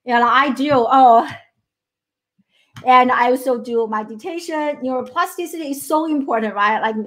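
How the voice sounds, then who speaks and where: A woman's voice: sits high, moderate pace, fairly bright tone. A middle-aged woman from China with a Chinese accent, giving an earnest motivational talk as a coach and often checking in with "right?". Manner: earnest, many restarts and "right?" tags.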